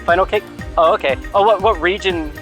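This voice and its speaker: A man with a slightly raised pitch presents a lesson, moving between scripted narration and curious, upbeat questions. Faint music sits in the background. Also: brisk, a bit bright.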